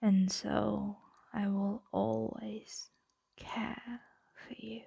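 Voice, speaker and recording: A woman playing a possessive, doting dragon captor in an ASMR roleplay, whispering slowly and hypnotically close to the microphone. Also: medium pitch, flat delivery, no echo, heavily acted. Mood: tender, possessive. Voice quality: breathy whisper. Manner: slow, hushed, hypnotic coaxing. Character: possessive, doting female dragon captor soothing their pet.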